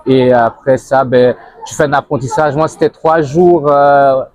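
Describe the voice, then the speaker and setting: A man's voice: mid-range voice, steady tone, measured pace, darkish tone, no room sound. Young man, dry close sound. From Zurich, Switzerland. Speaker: interviewee, banker and model. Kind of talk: casual interview answers.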